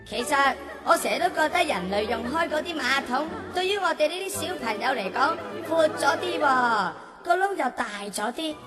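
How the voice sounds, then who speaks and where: A woman voicing an excitable, cheeky young child in a children's audio drama, playful and full of exclamations. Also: sits high, moderate pace, few pauses, music in the background, crowd noise. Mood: wistful.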